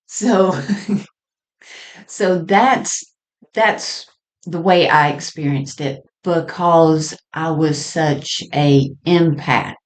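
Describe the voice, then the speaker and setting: A woman telling personal stories in a halting, conversational way with frequent small chuckles as she reminisces. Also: deep voice. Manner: halting and conversational, often chuckling mid-sentence. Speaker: storyteller sharing personal experience.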